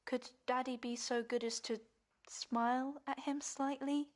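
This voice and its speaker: High-voiced, devoted young daughter. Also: female voice, flat delivery, a little slow. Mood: bright, coaxing. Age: young adult. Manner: affectionate, polite, coaxing. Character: devoted, genteel, reassuring young daughter of a painter. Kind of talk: radio play acting.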